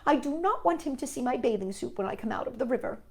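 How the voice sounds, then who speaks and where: A woman's voice: fairly high pitch, lively intonation, quick pace, vocal fry, voice pushed up. A woman voicing a small, grumpy, self-conscious male toad from a storybook in a fussy, insistent manner. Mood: fussy, embarrassed.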